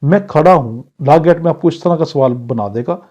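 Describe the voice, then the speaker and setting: Dull-sounding recording, Pakistani man lecturing, higher-pitched. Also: a little mellow. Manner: reads English clauses slowly, then explains them in Urdu; didactic, poses questions and answers them. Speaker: law lecturer. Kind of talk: exam-prep law lecture, explaining with examples.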